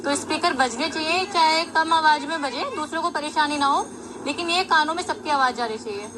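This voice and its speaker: High-voiced woman in noisy vox pop. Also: a little fast. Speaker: devotee interviewed by news crew. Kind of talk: informal vox pop answer. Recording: noisy on-location interview sound.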